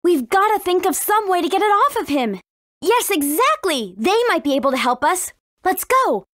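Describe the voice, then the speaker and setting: Spirited american teen girl princess from a video game, high lively voice, super dry studio sound. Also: moderate pace, no room sound, heavily acted. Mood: thoughtful, explaining. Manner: expressive, emphatic delivery. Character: spirited, earnest, cautious teenage warrior princess. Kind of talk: English video game voice acting.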